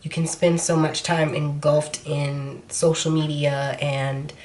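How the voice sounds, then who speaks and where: A young woman with a low, slightly nasal voice, just over a cold, talking casually to her viewers as she applies makeup. She is frank and chatty. A faint hiss sits behind her. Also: level tone, slow. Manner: casual, frank, talks while applying makeup. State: nasal after being sick. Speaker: beauty vlogger. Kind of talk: chatty makeup tutorial talk.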